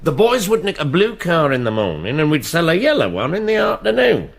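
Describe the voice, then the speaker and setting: Radio drama: cheeky, plain-spoken crook, lightish raised pitch, bouncy intonation. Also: male voice, wildly swooping pitch, a little fast, gravelly, exaggerated voice. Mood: chirpy, boastful. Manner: colloquial, plain-spoken, chipper. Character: cheeky, blunt male crook, a car respray man.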